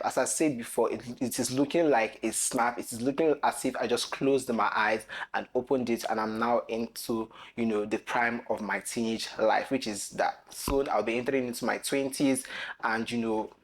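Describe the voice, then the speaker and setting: A young man of nineteen speaks straight to his viewers in a casual vlog, his pitch sitting in a middle register. He talks in an earnest, rambling way and keeps circling back with "basically" and "you know" as he gathers his thoughts. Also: steady tone, moderate pace. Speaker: content creator. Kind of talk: reflective personal vlog talk.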